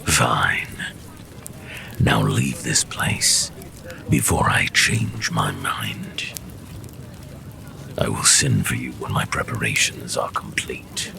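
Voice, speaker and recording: A man's voice: extremely low pitch, slow, pauses often, in a whisper, heavily acted. A man playing a proud, defiant, power-hungry tyrant in a fantasy audio drama, with a growling, sneering, imperious delivery. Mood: grim resolve. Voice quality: gravelly, growling.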